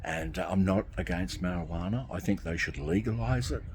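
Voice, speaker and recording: A man's voice: low voice, brisk, darkish tone, slight background noise. A man, talking casually to camera from outdoors by a garden, rambling and repeating himself. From Australia. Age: 60s. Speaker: vlogger.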